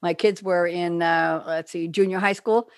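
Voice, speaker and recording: A woman's voice: lowish voice, fairly even intonation, normal speed, no echo. An older woman hosting a radio talk show, with a New York accent. She chats in a loose, rambling way and laughs often. The recording is very dry and close. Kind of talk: casual chat with guests.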